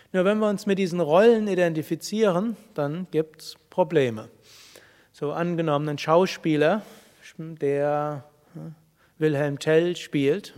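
A man with a fairly high voice gives a spiritual talk in a hall with a long echo. He speaks in a calm, measured way, pausing often and humming as he thinks. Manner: calm, measured, with pauses and hums. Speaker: spiritual teacher. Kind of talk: spiritual talk to a live audience.

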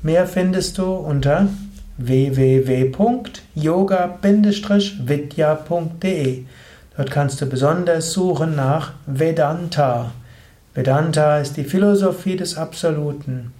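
A man giving a slow, measured spoken lesson on yoga philosophy, explaining with thoughtful pauses. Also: a little high, dark tone. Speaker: yoga teacher. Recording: roomy echo.

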